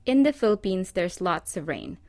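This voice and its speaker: Woman reading a presentation script, steady and measured, dry sound. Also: on the low side, dry recording. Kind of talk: scripted narration.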